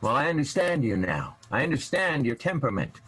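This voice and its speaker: An actor playing a wounded, grudging schemer with a husky, halting voice in a radio comedy. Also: male voice, medium pitch, very animated, a bit bright. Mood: weak, plotting. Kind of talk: improvised radio comedy acting.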